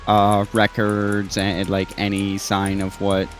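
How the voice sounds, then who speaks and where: A man with a lowish voice talks through his moves in a tabletop game. He goes slowly and hesitantly, thinking out loud in a casual way over soft music. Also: monotone. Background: background music.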